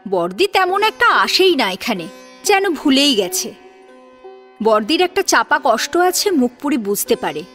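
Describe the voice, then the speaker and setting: A woman's voice: high voice, sing-song, a little slow, fairly bright tone. A woman reading scripted story narration as the narrator of an audio drama. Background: sitar music.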